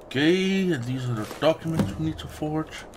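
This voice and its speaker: A man giving casual, excitable, sweary commentary as he hosts a gaming let's play. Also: on the high side, normal speed, noisy background. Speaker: gaming video host. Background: fantasy video game audio.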